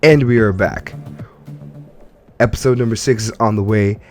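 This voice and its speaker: Low-voiced man hosting a gaming podcast. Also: normal speed, darkish tone, faint music behind. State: congested from allergies. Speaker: podcast host. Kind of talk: casual gaming podcast chat.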